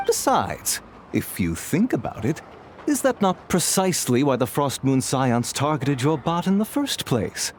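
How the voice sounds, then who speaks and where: A man's voice: fairly high pitch, big pitch swings, measured pace, a little hiss or hum. Smug, swooping suave male schemer. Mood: calculating. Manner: smooth, mocking politeness. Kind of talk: video game voice acting.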